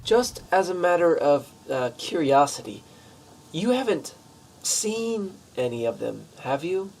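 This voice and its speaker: A man's voice: a little high, very animated, measured pace, gravelly, a little mellow, exaggerated voice, poor audio. A man acting as a nervous, polite pizza shop manager in a radio play, speaking hesitantly and warily. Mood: nervous, probing. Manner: hesitant, wary.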